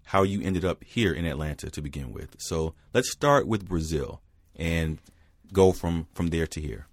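A man's voice: low voice, moderate pace, no room sound, studio quality. A man interviewing a guest, asking his questions in a curious, inviting way.

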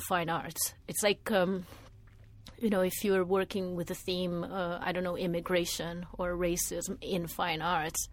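A woman's voice: lowish voice, darkish tone. A middle-aged woman from Brazil, a media artist answering interview questions in a thoughtful way, with many restarts and fillers. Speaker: media artist and former art teacher.

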